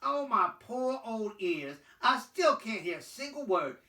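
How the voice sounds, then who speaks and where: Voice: medium pitch, sing-song, unhurried, bright, forceful tone, voice pushed up, heavily acted. A storyteller voicing a sly old fox who wheedles and coaxes. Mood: sly, coaxing. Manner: wheedling, coaxing. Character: sly old fox feigning deafness, coaxing. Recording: roomy, distant microphone.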